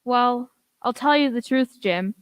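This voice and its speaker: Woman as high-voiced fretful widow mother. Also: unhurried, clear, resonant tone, natural voice. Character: anxious, poor widowed innkeeper mother. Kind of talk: amateur radio play acting.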